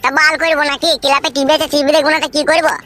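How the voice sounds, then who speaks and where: A woman's voice: high-pitched, little pitch movement, very fast, a bit bright. A woman playing an irritable, foul-mouthed TV-loving village man in a comedy cartoon. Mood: irritated.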